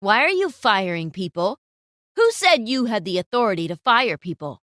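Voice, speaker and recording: A woman's voice: mid-range voice, big pitch swings, moderate pace, fairly bright tone, dry recording, studio quality. A woman voicing a composed, stern female client who scolds a photographer.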